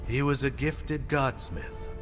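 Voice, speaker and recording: A cautious, thoughtful godsmith from a fantasy game. His mid-pitched voice is solemn and deliberate. It trails into long reverb, with music underneath. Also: animated. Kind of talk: dramatic video game cutscene acting.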